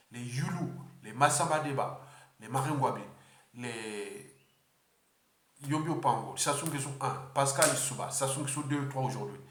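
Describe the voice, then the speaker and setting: A man from the Republic of the Congo giving an unscripted political address, halting often and repeating words. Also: mid-range voice, echoey room. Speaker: political speaker.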